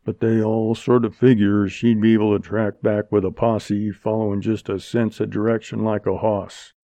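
A man playing a calm, menacing bandit in a storybook, with plain frontier talk and little emotion. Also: normal speed, dry recording, natural voice. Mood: matter-of-fact. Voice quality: booming bass. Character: calm, menacing male bandit, lean and unemotional.

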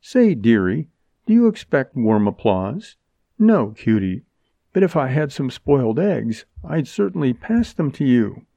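Man putting on a sassy, high-pitched young dame. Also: very animated, a little slow, creaky, mellow, dry recording, lo-fi sound, full character voice. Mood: sneering, angry. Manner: sneering, sarcastic, wisecracking. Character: brassy, defiant, slangy young woman in danger. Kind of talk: dramatized audiobook dialogue.

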